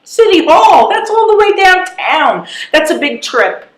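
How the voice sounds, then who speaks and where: Actress playing a wry old widow, lively delivery. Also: female voice, extremely high pitch, unhurried, exaggerated voice. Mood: teasing. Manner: wry, pointed rhetorical questions. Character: blunt, wry, determined elderly widow wanting marriage. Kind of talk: readers theatre stage comedy. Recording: echoey live room recording.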